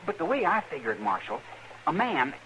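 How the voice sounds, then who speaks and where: A man's voice: on the high side, very animated, normal speed, bright, forceful tone. An actor playing a naive, stubborn young farm boy with a rural American accent and a hesitant, folksy manner, in radio western style. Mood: earnest, hesitant. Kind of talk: old-time radio western acting. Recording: noisy old radio drama recording.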